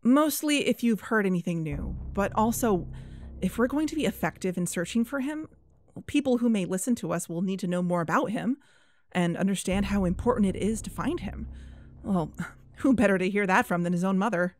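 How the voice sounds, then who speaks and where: A woman's voice: medium pitch, lively intonation, moderate pace, a little mellow, lightly acted. An actress playing an earnest, persuasive young woman who hosts an investigative podcast in an audio drama. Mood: sympathetic, coaxing. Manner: earnest, coaxing. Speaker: podcast host. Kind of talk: fiction podcast narration and phone dialogue.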